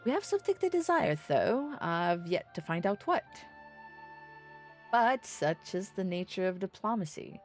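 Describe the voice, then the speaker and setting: A woman voicing an earnest young nobleman diplomat from a fantasy game, with formal, measured, slightly pompous archaic speech. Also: mid-range voice, very animated, soft background music. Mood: self-assured, scheming.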